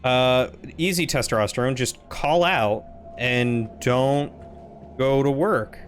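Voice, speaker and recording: Man chatting loudly as he streams. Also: slow pace, slight background noise. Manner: loud, projecting voice; talks in a lighter, friendlier tone than his deep baritone. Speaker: game streamer. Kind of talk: casual live-stream chat.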